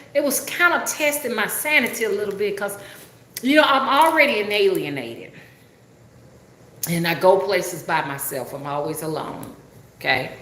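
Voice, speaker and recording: Bright-voiced Southern woman chatting. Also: lowish voice, roomy sound, slight background noise, rough recording. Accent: Southern US (Alabama). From Alabama, US. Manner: folksy, chatty, uses country dialect. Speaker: rootworker and spiritual reader. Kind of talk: casual live-stream chat.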